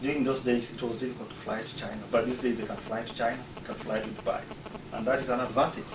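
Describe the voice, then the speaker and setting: A man's voice: medium pitch, steady tone, fast, noisy background. A man from Uganda giving a prepared talk, explaining his points one by one in order. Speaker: speaker. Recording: roomy, distant mic, faint ambience.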